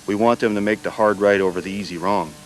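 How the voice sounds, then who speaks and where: Male military interviewee, low register, explanatory delivery, slight ambient noise. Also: fairly even intonation, quick pace, rough recording. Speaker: military instructor being interviewed.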